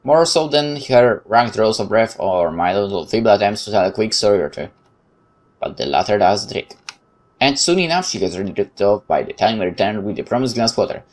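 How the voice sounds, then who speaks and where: A man hosting a let's play, reading narration aloud in a measured, dramatic way with casual commentary. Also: on the low side.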